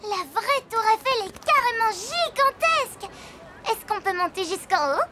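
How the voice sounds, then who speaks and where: Eager high-pitched little girl pig. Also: animated, unhurried, heavy background noise. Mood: eager, curious. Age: child figure. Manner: eager, childlike. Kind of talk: French cartoon dub acting.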